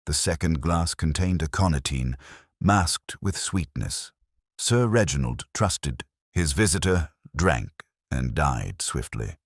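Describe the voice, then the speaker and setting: Man acting as a composed Victorian sleuth, extremely low voice, animated swoops, theatrical, precise, storybook detective. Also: takes it slowly, breathy edge, no room sound, studio quality, exaggerated voice. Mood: composed, lecturing. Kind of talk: audiobook detective story dialogue.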